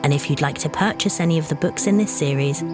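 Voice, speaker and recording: A soft-spoken woman hosting a children's storytime, narrating a picture book in a gentle, soothing way. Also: low-pitched, quick pace, music in the background.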